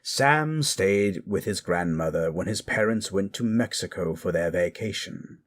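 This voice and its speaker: A man's voice: low-pitched, expressive, unhurried. A man hosting and narrating scary stories with theatrical, macabre relish, moving between dramatic storytelling and musing commentary. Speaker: horror story narrator and host.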